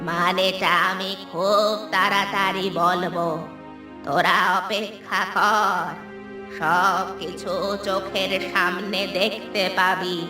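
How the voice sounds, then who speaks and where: Woman playing a slow, echoing vengeful old ghost woman. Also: medium pitch, little pitch movement, natural voice. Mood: threatening. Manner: slow, menacing, cackling. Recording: echoey, distant, music underneath.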